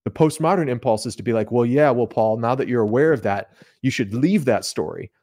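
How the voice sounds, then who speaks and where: A man's voice: medium pitch, a little fast, dark tone. A man talking casually and explaining ideas as a podcast guest, colloquial and given to voicing imagined remarks. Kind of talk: casual theological conversation.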